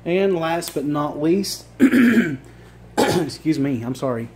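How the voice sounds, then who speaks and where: A man with a Virginia accent, losing his voice and clearing his throat, chatting casually to a phone camera indoors as he shows off thrift finds. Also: fairly high pitch, big pitch swings, slow pace, a little mellow. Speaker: thrift reseller vlogger. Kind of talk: casual show-and-tell vlog. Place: indoor room with items on a table. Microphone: phone camera held while filming.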